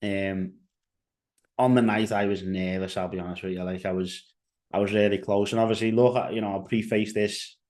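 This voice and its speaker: Low, flat-voiced Liverpool man. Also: moderate pace. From England. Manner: rambling, many fillers and restarts. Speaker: podcast host. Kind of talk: casual solo commentary.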